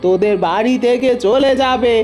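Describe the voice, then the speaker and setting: A woman's voice: on the high side, normal speed, darkish tone, close to an everyday voice. A woman voicing a curt, sinister old village herbalist in a fairy-tale cartoon, brusque and commanding. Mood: sly, commanding.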